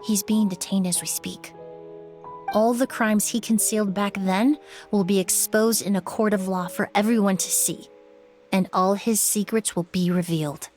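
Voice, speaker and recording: An actress playing a calm young perfumer who explains her reasoning in a measured way. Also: mid-range voice, faint music behind, close to an everyday voice. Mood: somber. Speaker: perfumer, apprentice.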